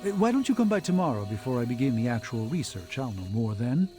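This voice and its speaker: Guy acting learned wizard doctor in a video game, formal n precise, measured, music behind him. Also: mid-range voice, big pitch swings, lightly acted. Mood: composed. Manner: formal, precise, deliberate phrasing. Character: formal, learned male sorcerer doctor, measured and self-assured.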